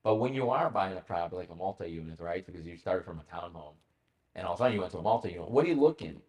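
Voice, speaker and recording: A man with an Italian accent, Italian his first language, hosting a casual office podcast chat, animated and joking, with many fillers. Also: sits low, very fast. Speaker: podcast host.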